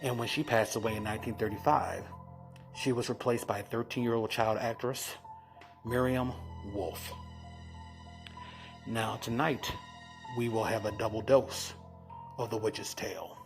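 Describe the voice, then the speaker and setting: A man hosting with a scripted introduction, phrased in a measured, deliberate announcer manner. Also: lowish voice, steady tone. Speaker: host. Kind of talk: scripted introduction to old radio shows. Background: music.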